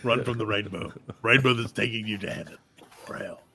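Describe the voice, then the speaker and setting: A man's voice: lowish voice, brisk, gravelly, a crowd in the background. A man co-hosting a comedy podcast, reading out odd news stories with jokey asides in casual banter. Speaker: podcast co-host.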